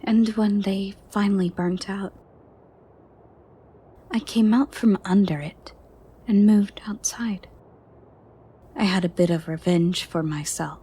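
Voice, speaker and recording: A woman playing a gentle, ancient female monster under the bed in a soft, breathy, partly whispered ASMR roleplay, formal and caring. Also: medium pitch, fairly even intonation. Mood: wistful storytelling. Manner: slow, formal, caring, slightly stern.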